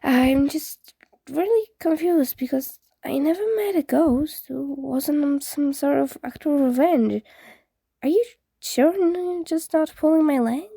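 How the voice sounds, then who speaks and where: A woman's voice: sits high, a little slow, creaky, mellow, no room sound, pitched above the performer's own voice. A woman voicing an awkward, puzzled, apologetic teenage boy in a story, hesitant and rambling in a casual way. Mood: apologetic, confused.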